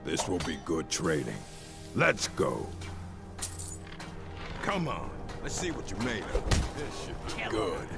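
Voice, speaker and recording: Proud orc fighter for a fantasy game: rock-bottom pitch, blunt delivery, game music behind. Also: male voice, huge pitch swings, slow, long pauses, heavily acted. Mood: challenging. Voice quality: deep, gravelly. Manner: blunt, terse, formal. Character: gruff orc warrior of a stronghold, proud and dutiful. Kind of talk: video game character dialogue.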